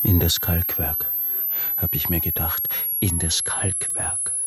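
An actor playing an obsessive recluse in a radio drama monologue, brooding and halting, with a husky, breathy voice that is often close to a whisper. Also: male voice, low voice, little pitch movement, no room sound, exaggerated voice. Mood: hushed, intent. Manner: halting, brooding, insistent repetition. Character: obsessive male recluse tormented by his acute hearing.

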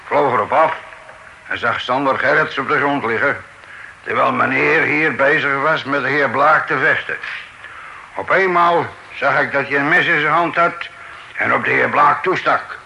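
Witness, gravelly, sharp bright tone, faint hiss. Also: male voice, medium pitch, moderate pace, poor audio. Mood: testifying. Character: sly witness giving his account. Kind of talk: old radio play acting.

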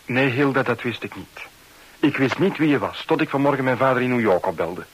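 Earnest, slightly husky young man. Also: mid-range voice, animated, brisk, rough recording. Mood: earnest, pleading. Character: earnest young American art dealer named Dick Branton. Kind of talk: radio drama acting. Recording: old radio drama recording.

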